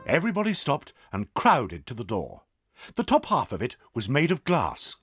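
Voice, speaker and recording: A man's voice: medium pitch, huge pitch swings, moderate pace, a bit bright, soft background music. A man narrating a dramatised children's audiobook in a scripted storytelling style.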